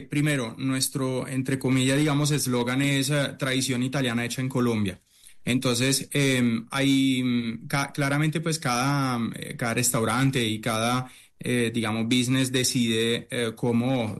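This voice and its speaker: A middle-aged man from Italy, a chef, answering interview questions in a halting way, full of fillers and restarts. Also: mid-range voice. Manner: hesitant, many fillers and restarts.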